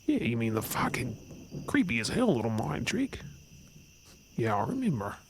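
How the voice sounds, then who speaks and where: A man's voice: medium pitch, wildly swooping pitch, moderate pace. A man playing an anxious, blunt young male werewolf in an audio drama, sarcastic and out of his depth. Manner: blunt, agitated, sarcastic, casual swearing. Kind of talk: audio roleplay acting. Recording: background noise with chirping crickets.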